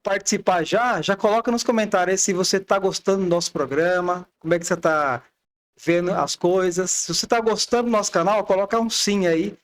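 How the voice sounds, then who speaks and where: A man's voice: high voice, steady tone, fairly quick. A man in his twenties hosting a religious podcast, chatty and loose in manner. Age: 20s. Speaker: podcast host. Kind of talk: casual religious podcast chat.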